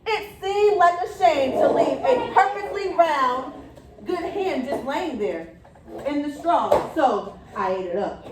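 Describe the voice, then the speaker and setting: An indignant, mock-innocent wolf played by a woman pleads his case in storybook style. The voice is high and very animated, with wry, theatrical emphasis. It rings in a very echoey room. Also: slow. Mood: matter-of-fact, self-justifying. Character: indignant wolf telling his own side, self-pitying, mock-innocent. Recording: very echoey room, distant mic, faint noise.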